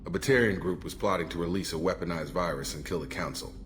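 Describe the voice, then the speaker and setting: A man's voice: deep voice, fast talker, a bit bright, a little hiss or hum. A man playing a serious, principled ex-military operative in a video game, speaking matter-of-factly. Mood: earnest, steady. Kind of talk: video game dialogue acting.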